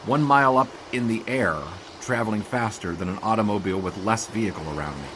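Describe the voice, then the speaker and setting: Man playing a low-voiced, bookish sportswriter narrator. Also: fast talker, a bit bright, a little hiss or hum, lightly acted. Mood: reflective, wry. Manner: formal, literary, wry. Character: earnest, wordy, bookish young male sportswriter narrator. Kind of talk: scripted first-person radio drama narration.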